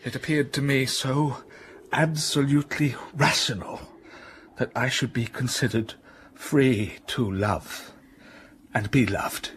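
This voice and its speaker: A brooding gentleman in a radio drama, slow, measured, passionate bursts, mid-pitched voice. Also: fairly even intonation, slight background noise. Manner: slow, brooding storytelling with sudden rises of passion.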